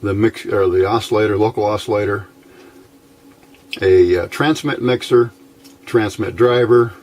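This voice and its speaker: A man giving a casual, unscripted technical explanation as a hobbyist presenter, haltingly, thinking aloud as he goes. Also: lowish voice, a little mellow. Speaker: amateur radio hobbyist presenting a how-to video.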